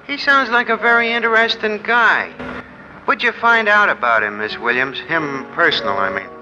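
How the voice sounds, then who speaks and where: An actor playing a curious, plain-spoken American working-class bartender in a 1940s radio drama. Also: male voice, sits high, expressive, normal speed, pushed and bright, exaggerated voice. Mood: curious, musing. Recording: muffled old 1940s radio, music behind.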